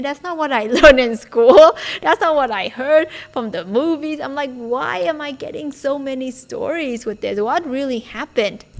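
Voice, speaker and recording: A woman's voice: sits high, vocal fry, a little mellow. A woman talks informally to her viewers. Her delivery is conversational and emphatic, the style of a motivational video host. She leans on rhetorical questions and small asides as she goes. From Vietnam. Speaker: spiritual self-development video host. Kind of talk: informal motivational talk to camera.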